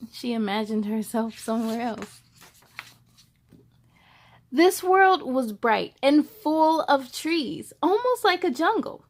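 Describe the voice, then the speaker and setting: A woman reading a children's picture book aloud expressively, with casual wondering asides for young listeners. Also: high-pitched.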